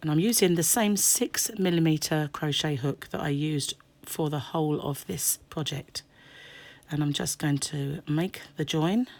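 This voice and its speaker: A middle-aged woman with a croaky, hoarse voice, chatting her way through a crochet tutorial with lots of ums and restarts. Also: sits low, unhurried, a little mellow. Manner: chatty, rambling explanations with many ums. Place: indoors at a table.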